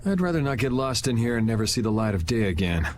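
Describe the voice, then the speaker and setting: An American actor playing a cool, wry, self-assured young hero in an anime game dub, speaking in a dry, sardonic way. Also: male voice, medium pitch, very animated, fast, darkish tone, a little hiss or hum, close to an everyday voice. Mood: dryly teasing.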